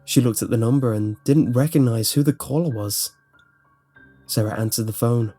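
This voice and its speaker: Mellow male horror story narrator. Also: medium pitch, moderate pace. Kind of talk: scripted creepypasta narration. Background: faint music.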